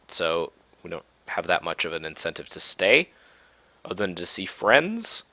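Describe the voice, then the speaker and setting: A man's voice: low voice, a bit bright, no room sound. A man in his thirties from the Minneapolis area, talking laid-back and rambling over his Minecraft game while coming down with a cold. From Minneapolis-Saint Paul area, US. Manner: laid-back and rambling, many ums and restarts. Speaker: Minecraft let's play host. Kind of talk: casual gameplay commentary.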